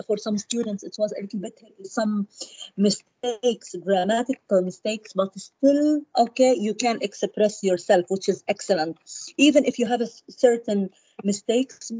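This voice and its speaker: A woman's voice: medium pitch, normal speed, warm tone, breathy edge, no room sound, rough recording. A woman teaching an English class over a video call, encouraging and mixing in Arabic words. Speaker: English lecturer. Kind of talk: online class.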